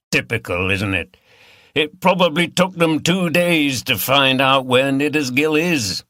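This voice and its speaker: A man voicing a blunt, irritable police boss in an audiobook, grumbling and forceful. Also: mid-range voice, no echo, clean studio sound.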